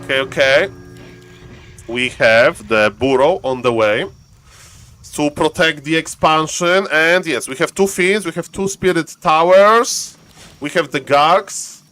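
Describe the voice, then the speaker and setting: A man from Poland with a Polish accent, casting a live strategy game in chatty, excitable English and answering his chat. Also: a little high, takes it slowly. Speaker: game caster.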